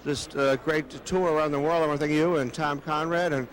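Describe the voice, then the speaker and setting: A man's voice: fairly high pitch, fairly even intonation, fairly quick, poor audio. Chatty man hosting a wine tasting. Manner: jovial, chatty, with frequent stammered restarts. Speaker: host. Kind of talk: casual on-location interviews.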